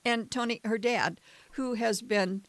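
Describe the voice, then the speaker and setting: Gravelly older woman chatting. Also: a little high, unhurried. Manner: chatty storyteller, laughs often. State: tired morning voice. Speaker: podcast co-host. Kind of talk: casual chat.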